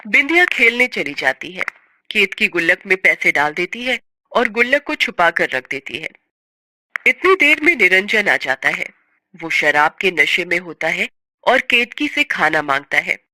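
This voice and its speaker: A woman narrating a scripted story as an expressive, sorrowful storyteller. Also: lowish voice, measured pace, poor audio.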